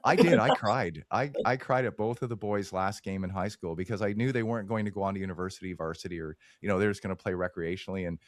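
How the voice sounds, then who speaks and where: A man's voice: deep voice, fairly even intonation, quick pace, hardly any pauses, no echo, studio quality. A man hosting a podcast, chatting in a candid, self-deprecating way with his guests. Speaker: podcast host. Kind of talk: casual interview chat.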